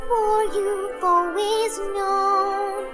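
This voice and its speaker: A woman singing as a gentle young female pony in love, in a musical audio drama. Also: very high voice, level tone, very slow, soft background music, exaggerated voice. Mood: tender, devoted.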